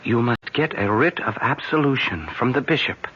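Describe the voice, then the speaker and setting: A man playing a grave, urgent villager in old-time radio drama, with an ominous, deliberate, theatrical delivery. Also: medium pitch, close to an everyday voice. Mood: hushed, earnest. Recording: muffled, noisy old radio recording.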